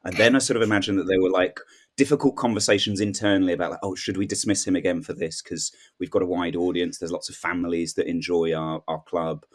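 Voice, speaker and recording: A man's voice: sits low, fairly even intonation, quick pace. A man chatting casually as a comedy panel guest, telling a rambling story and acting out imagined dialogue. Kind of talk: casual comic news chat.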